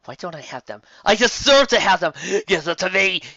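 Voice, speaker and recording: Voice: mid-range voice, sing-song, fairly quick, bright, forceful tone, dry recording, muffled recording, pitched above the performer's own voice. A narrator voicing a hot-headed female unicorn in a comic story, shrieking and ranting in overwrought indignation. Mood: demanding, manic. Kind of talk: acted comic fan-story voice.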